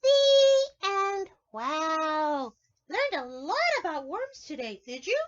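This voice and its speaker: Very high-voiced woman reading to preschoolers. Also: muffled recording, slight background noise. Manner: expressive, drawn-out storytelling, addressing children directly. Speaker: preschool teacher. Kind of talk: picture-book read-aloud for young children, with asides and questions.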